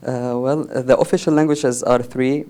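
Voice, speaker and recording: A man from Syria with Arabic as his first language, answering interview questions slowly with many pauses and fillers. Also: mid-range voice, steady tone, dark tone, clean tone. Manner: hesitant, many "uh" fillers, careful non-native English. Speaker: podcast guest.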